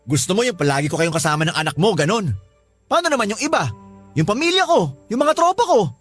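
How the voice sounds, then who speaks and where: A man's voice: high-pitched, very animated, fast talker, fairly bright tone, no echo, soft background music, heavily acted. A man playing a defensive, irritable live-in partner in a Filipino radio drama, whining and dismissive. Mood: indignant. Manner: whiny, dismissive. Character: defensive, irritable young live-in partner, fond of his buddies.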